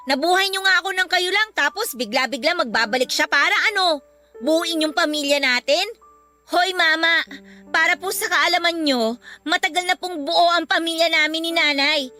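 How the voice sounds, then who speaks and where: Radio drama role: bitter young Filipino daughter, piercingly high and bright, confrontational. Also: female voice. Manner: emphatic, confrontational. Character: bitter, hurt, defiant young daughter confronting her parents.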